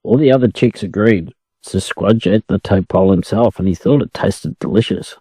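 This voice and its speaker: A man's voice: lowish voice, moderate pace, mellow, husky, dry recording. An Australian man narrating a children's story for English learners as a storyteller.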